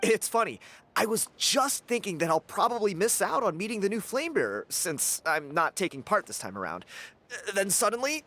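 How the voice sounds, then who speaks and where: Lively, cocky young dancer. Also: male voice, high voice, normal speed, full character voice. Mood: delighted, boastful. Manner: breezy, self-assured, chuckling. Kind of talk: video game character acting.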